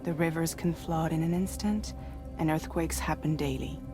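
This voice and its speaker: An actress playing a composed, persuasive woman who recruits a courier in a cinematic video game, speaking in a measured, earnest way. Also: low-pitched, steady tone, music in the background, natural voice. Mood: grave, expository.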